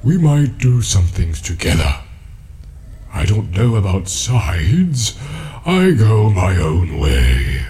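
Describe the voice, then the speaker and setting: An ancient tree-giant, deep and rumbling,. He drags out every word at a slow, unhurried pace, humming and pausing as he muses. His pitch rolls widely from low rumbles to sudden high exclaims. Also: sing-song, mellow. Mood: musing. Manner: slow, musing, full of hums and pauses. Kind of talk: audiobook character reading.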